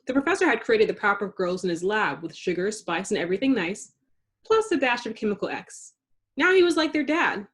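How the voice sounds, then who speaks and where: A woman reading a children's story aloud in an expressive narrating style, with dramatic emphasis for suspense. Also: medium pitch, brisk. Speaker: storybook reader.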